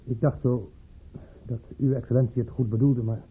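A man's voice: medium pitch, level tone, moderate pace, a little hiss or hum, lightly acted. An actor playing a humble, wary common soldier in a radio play, hesitant and deferential toward his superior. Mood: nervous, careful. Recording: muffled, narrow-band old radio recording.

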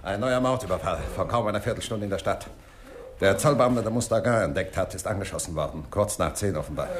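Guy acting a businesslike cop giving reports, lowish voice, noisy old radio play. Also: quick pace, natural voice. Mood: urgent. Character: businesslike police subordinate reporting urgent news. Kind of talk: 1960s German radio drama acting.

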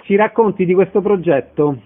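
A man's voice: high-pitched, moderate pace. A man hosting a radio interview, delivering prepared introductions and questions. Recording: narrow phone-like radio sound.

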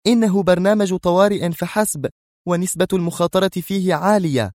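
Man doing a high, stern female spy commander. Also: brisk, no room sound, studio quality, lightly acted. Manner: sharp, commanding, strained. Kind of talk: audiobook character voice.

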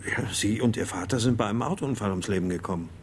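An actor playing a shaken, sleepless father in a radio drama, speaking in a halting, bewildered way. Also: on the low side, slight background noise, natural voice. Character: shaken, sleepless father seeking his missing daughter.

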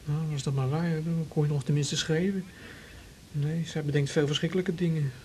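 A man's voice: a little high, fairly even intonation, a little mellow, close to an everyday voice. A young man played by an actor, shy and troubled, talks in a hesitant, natural way in a radio serial. There is faint noise behind the voice. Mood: bitter, unhappy. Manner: hesitant, halting. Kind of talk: naturalistic radio drama acting.

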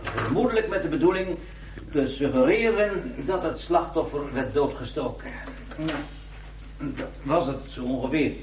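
A man's voice: on the high side, recorded from a distance, natural voice. Man acting clinical pathologist in an echoey room. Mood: clinical, matter-of-fact. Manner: clinical, measured, dry. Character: matter-of-fact male pathologist dictating autopsy findings. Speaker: actor in a radio crime drama. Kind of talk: 1990s Dutch radio drama acting. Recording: echoey room, off mic, faint hum.

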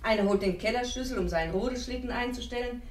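A woman's voice: fairly high pitch, fast talker, darkish tone, natural voice. An actress playing a harried, motherly housewife in a 1950s German radio play, heard in an echoey room. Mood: harried, complaining. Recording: distant, echoey old radio recording.

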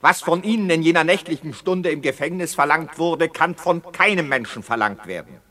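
An actor playing an indignant, argumentative director in a 1960s German radio play, speaking in formal, emphatic phrases. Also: male voice, a little high, animated, moderate pace, sharp tone. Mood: urgent, protesting.

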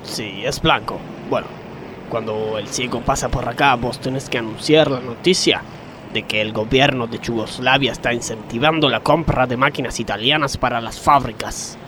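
A man's voice: mid-range voice, moderate pace, noisy background, lightly acted. A man with an Argentine accent playing an earnest, scheming factory worker in a comedy radio sketch.

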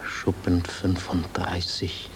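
An actor playing an earnest, idealistic officer in a German radio drama, solemn and intense. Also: male voice, deep voice, completely flat delivery, slow, slight background noise. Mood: subdued, grim. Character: earnest, idealistic officer, urgent man of conscience.

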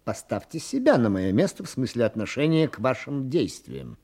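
An actor playing an officious, probing police commissioner in a radio play, with a formal interrogating manner. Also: male voice, medium pitch, sing-song, moderate pace, darkish tone. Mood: stern, probing. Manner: formal, interrogating, muttering thoughtful hums.

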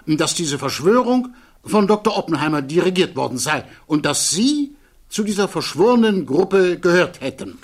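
A man's voice: high-pitched, sing-song, normal speed. An actor playing a male defense lawyer in a radio play, questioning witnesses in a formal, courteous, methodical way. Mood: probing.

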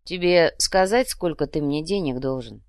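A wry, canny dealer in stolen goods read by a woman in a storybook style. He needles dryly and keeps an eye on the money, with lively intonation and a close, dry sound. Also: low voice, moderate pace, dry recording. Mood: regretful haggling. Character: shrewd, wry male trader and fence, money-minded. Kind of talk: audiobook character voice.

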